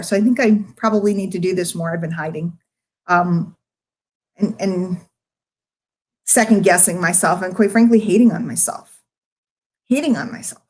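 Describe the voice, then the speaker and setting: A middle-aged woman speaking casually to her online followers as a marketing coach, candid and rambling, with emphatic points made straight to the listener. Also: mid-range voice. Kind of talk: casual live-stream chat to followers.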